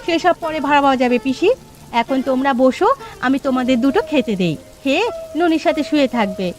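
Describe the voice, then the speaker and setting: A woman's voice: high voice, lively intonation, brisk. A woman voicing a caring, practical village mother in a cartoon fairy tale. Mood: caring, brisk.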